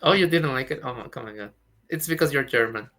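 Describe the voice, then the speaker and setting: A man co-hosting a live stream, reading viewer questions aloud and reacting excitedly. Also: mid-range voice, quick pace. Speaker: co-host community manager. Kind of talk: casual live stream chat.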